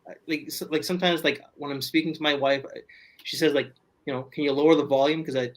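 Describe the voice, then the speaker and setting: A man talking loudly from his apartment as a guest on a casual video call, rambling with many restarts. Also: fairly high pitch, steady tone, fast talker. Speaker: podcast guest. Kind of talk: casual livestream chat. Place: home apartment.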